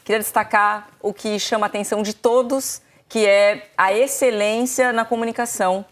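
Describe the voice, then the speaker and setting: Woman, TV sports presenter, explains at length, mid pitch. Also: fairly even intonation, normal speed. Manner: explains at length, summarizing and paraphrasing. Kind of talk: studio football discussion.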